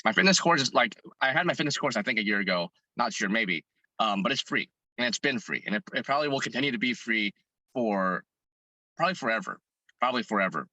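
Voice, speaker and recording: Hoarse man, bright and earnest. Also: medium pitch, animated, fast talker, no room sound, dull audio. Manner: earnest, thinking aloud with many restarts. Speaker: fitness content creator and business owner. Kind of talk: interview guest answering questions.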